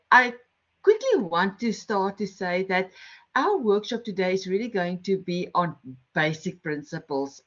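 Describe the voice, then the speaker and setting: Middle-aged South African woman facilitator on a muffled video call, friendly and explanatory. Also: medium pitch. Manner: friendly, explanatory, with frequent ums and restarts. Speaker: workshop facilitator. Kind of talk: online workshop presentation. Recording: slightly dull online call audio.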